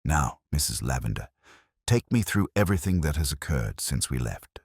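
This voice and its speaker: A man playing a calm, measured police inspector who questions people gently and deliberately in a village mystery story. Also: extremely low pitch, breathy edge, dry recording, studio quality, exaggerated voice. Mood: calm, probing.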